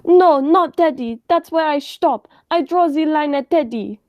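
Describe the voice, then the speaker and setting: A woman's voice: high voice, a little slow, highs cut off. A young woman playing a nervous, squeamish doctor sidekick in a radio play. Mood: anxious.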